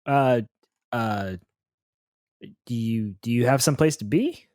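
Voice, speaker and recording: A man playing a tabletop game, improvising casually in character with a hesitant, halting delivery. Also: medium pitch, a little mellow, no echo, studio quality. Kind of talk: casual improvised role-play.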